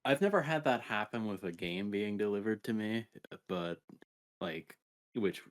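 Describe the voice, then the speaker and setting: Low-voiced male podcast co-host. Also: expressive, a little slow. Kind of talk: casual video game podcast chat.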